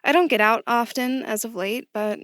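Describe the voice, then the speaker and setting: A woman's voice: a little high, normal speed, a bit bright, clear, resonant tone, dry recording, lightly acted. Woman acting mild young woman, dry close sound. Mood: chatty, reflective. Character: bookish, good-humored young woman, new employee. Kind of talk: naturalistic audio drama acting.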